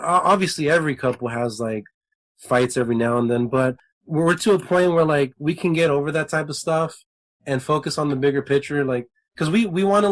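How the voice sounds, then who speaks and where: A man in his late twenties, a musician guest on a podcast, rambling with lots of filler words. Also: fairly high pitch. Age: 20s. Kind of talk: casual interview chat.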